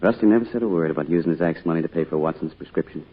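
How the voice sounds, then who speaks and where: A man's voice: low voice, very fast, lightly acted. An actor playing a fond, easygoing middle-aged small-town father in an old-time radio drama, speaking with an American accent in a plain, folksy way. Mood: wistful, fond. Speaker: narrator and father character. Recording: dull, noisy old radio recording.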